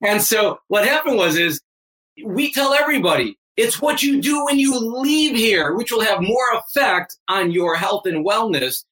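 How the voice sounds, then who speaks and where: A man's voice: sits high, bright, forceful tone, poor audio. A man in his fifties, a fitness coach, talking emphatically and energetically as a guest on a remote interview. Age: 50s. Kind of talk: energetic motivational interview talk.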